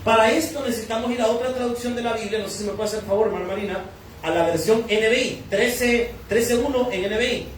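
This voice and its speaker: A Salvadoran pastor with a high voice preaches in a big echoing church hall, heard from some distance off the mic. He teaches by asking questions and answering them himself, with a faint room hum behind him. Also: fast talker. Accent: Salvadoran Spanish. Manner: teaching, asks and answers his own questions. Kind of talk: sermon. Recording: echoey church hall from far off.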